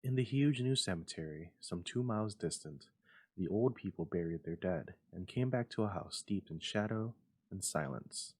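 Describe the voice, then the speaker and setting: A man's voice: low voice, lively intonation, normal speed, slightly rough, dry recording. A man reading a literary story aloud as a dramatic narration. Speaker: story reader.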